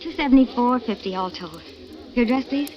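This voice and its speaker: A woman's voice: on the high side, lively intonation, a little fast, natural voice. A woman playing a coy young shop clerk. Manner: coy, wistful banter. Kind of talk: 1940s radio drama acting. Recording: dull old radio broadcast with faint hiss.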